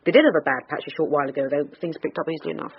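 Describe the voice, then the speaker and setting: An actress playing a sharp, persistent female police detective in a radio drama. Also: sits low, very fast, husky. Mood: brisk, analytical. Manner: probing, clipped questioning. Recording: muffled radio drama, faint noise.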